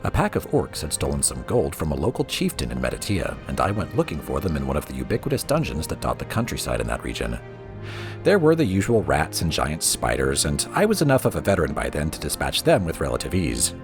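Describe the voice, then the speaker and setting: Male narrator, low register, highly varied intonation, reading storybook prose over background music. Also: wildly swooping pitch, fast. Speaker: let's play host reading in-game books aloud.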